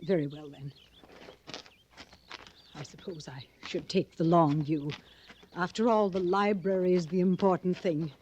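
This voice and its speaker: A woman's voice: fairly low pitch, fairly even intonation, unhurried, pauses often, mellow, highs cut off, a little hiss or hum, lightly acted. A middle-aged woman playing a prim, haughty, easily shocked matron in an old radio Western, speaking formally and precisely. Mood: prim, skeptical. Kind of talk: old-time radio Western drama acting.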